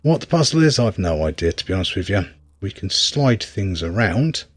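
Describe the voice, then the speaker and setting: Male gameplay commentator, slightly deep and husky, dry humour, loose off-the-cuff delivery. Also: very animated, normal speed, no echo. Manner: wry, rambling, thinking aloud. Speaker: game reviewer and player.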